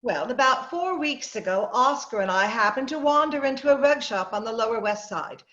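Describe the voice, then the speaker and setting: Muffled and roomy, a woman acting as a high-pitched middle-aged suburban wife in an old-time radio play. She is bossy and credulous, telling her story in an insistent, chatty way. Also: animated, normal speed, fairly bright tone. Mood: confiding, chatty. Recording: muffled, roomy video-call audio.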